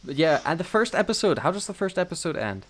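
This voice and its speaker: A man's voice: on the high side, big pitch swings, brisk, background noise. A man chatting casually as a podcast co-host, talking in a rambling way and often chuckling. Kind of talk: casual chat reviewing audio dramas.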